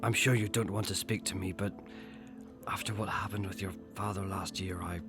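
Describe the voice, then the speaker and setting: An actor playing a solemn, earnest middle-aged headmaster in an audio drama, with a Northern Irish accent and a measured, oratorical manner. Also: male voice, sits low, steady tone, loud music behind the voice, natural voice. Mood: gentle, apologetic. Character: solemn, rousing male headmaster of a post-apocalyptic school.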